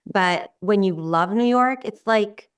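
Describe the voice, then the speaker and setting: A middle-aged Israeli woman whose first language is Hebrew, talking as an interview guest in a warm, rambling way with many restarts. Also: medium pitch, slow pace, clear, resonant tone, recorded from a distance. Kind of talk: casual interview talk.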